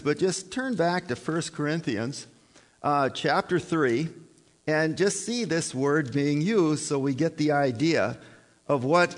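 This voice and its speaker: A man preaching a sermon in a conversational way, often putting questions to the congregation. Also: on the high side. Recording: reverberant church hall.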